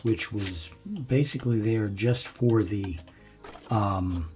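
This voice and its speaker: Older male let's-play host, naturally low voice, slow and musing. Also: darkish tone, faint music behind. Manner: unhurried, musing to himself while building. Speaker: gameplay video host. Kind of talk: relaxed let's-play commentary.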